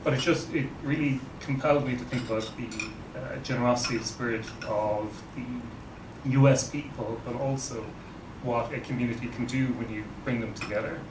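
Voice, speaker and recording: A man with an Irish accent, a guest speaker giving a relaxed, wry talk to an audience at an in-person event. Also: mid-range voice, brisk. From Ireland. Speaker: guest speaker, nonprofit CEO. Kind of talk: informal presentation to an audience. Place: event room. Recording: roomy, distant mic, background noise.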